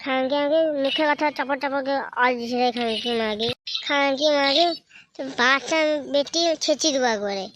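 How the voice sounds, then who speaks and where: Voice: high-pitched, fairly quick, noisy background, close to an everyday voice. A performer voicing a bullying, foul-mouthed husband in a comic cartoon, ranting threats. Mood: angry. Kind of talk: amateur comic cartoon dubbing.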